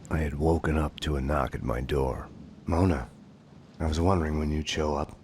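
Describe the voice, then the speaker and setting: Very deep-voiced brooding noir detective. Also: male voice, normal speed, slight background noise. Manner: deadpan, terse, grim. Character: brooding, world-weary male police detective narrating his nightmares. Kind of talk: noir video game narration. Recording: clear music under the voice.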